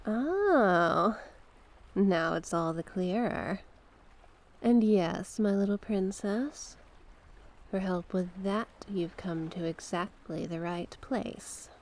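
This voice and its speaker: A woman's voice: medium pitch, takes it slowly. A woman playing a mysterious sea witch in an ASMR roleplay, coaxing and sly, speaking with genteel courtesy and terms of endearment. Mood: gently probing.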